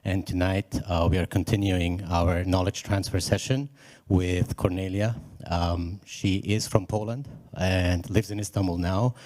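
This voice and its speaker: Deep-voiced man introducing a speaker. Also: steady tone, a little slow, a little mellow. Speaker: festival host. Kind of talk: event introduction.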